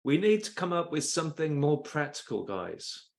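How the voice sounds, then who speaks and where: A man's voice: on the high side, very animated, normal speed, gravelly. A man playing a practical, cash-strapped small business owner in an amateur comic story. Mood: weary, practical. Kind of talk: amateur comic audio drama.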